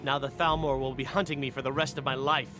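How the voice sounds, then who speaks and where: Weary, bitter elf man under loud music, acted. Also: mid-range voice, little pitch movement, quick pace, natural voice. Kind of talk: fantasy video game acting.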